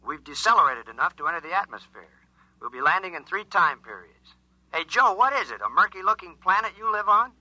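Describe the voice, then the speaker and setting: A man's voice: sits high, very animated, brisk, bright, forceful tone, dry recording, full character voice, low-quality recording. A man acting an alarmed alien spaceship pilot in a 1950s science fiction radio drama, speaking urgently through a radio transmission. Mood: joking, calm. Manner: urgent, insistent, short clipped reports. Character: alarmed alien spaceship pilot, joking turning desperate, pleading.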